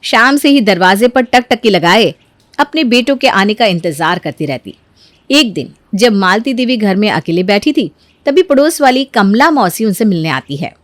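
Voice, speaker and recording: A woman's voice: mid-range voice, expressive, normal speed, no echo. A woman narrating a scripted family moral story as a storyteller.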